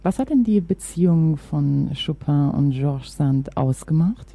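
A woman's voice: low voice, animated, a little slow, dark tone. A woman interviewing on radio, reading prepared introductions and questions.

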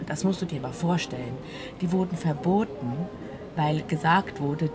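A woman narrating a scripted audio play in the second person, telling it like a personal story. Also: sits low. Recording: loud music under the voice. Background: prominent music.

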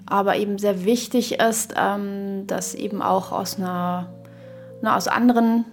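A woman talks in a casual podcast conversation as co-host. Her voice sits at a middle pitch and moves within a fairly narrow range. She thinks aloud haltingly, using academic terms. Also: steady tone, faint music behind. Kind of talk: casual, reflective podcast discussion.

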